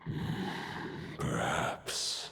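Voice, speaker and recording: An actor voicing an ancient, dying male wolf spirit in a game, with a gravelly, breathy voice and a grave, poetic, weary delivery. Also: very slow, background noise. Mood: weary, solemn.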